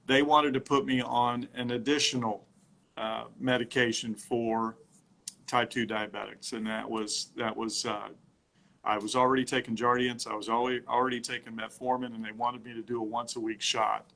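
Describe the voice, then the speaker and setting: A middle-aged man talking casually on a phone livestream from home, telling his story haltingly with many fillers and restarts. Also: medium pitch, level tone, gravelly, pushed and bright.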